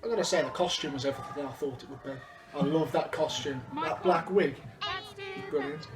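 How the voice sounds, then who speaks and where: A man's voice: on the high side, big pitch swings, brisk. A young man chatting casually in an interview about performing. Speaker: amateur pantomime performer. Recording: echoey room with music behind.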